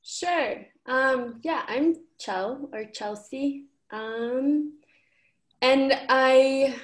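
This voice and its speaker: A woman's voice: high voice, drawn-out words. A young woman from Ecuador, a program coordinator, talking earnestly and casually with many fillers. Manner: earnest, uses many fillers like "like" and "you know". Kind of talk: casual conversational reflection.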